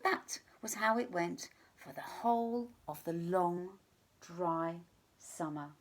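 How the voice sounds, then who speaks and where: A woman reading a children's story aloud in an expressive, deliberate storytelling manner, sometimes hushed. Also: fairly low pitch. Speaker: teacher reading a picture book to children.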